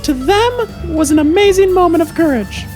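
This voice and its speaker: A woman's voice: very high voice, animated, moderate pace, a little mellow, faint music behind. A woman playing a precocious, earnest boy who speaks in wordy, formal, pompous phrases in a radio drama.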